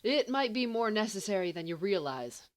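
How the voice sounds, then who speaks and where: A woman's voice: medium pitch, very animated, voice pushed up, natural voice. A woman playing an exasperated, bookish teenage schoolboy in an audiobook, grumbling and dryly sardonic. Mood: wry. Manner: grumbling, sardonic, halting when flustered.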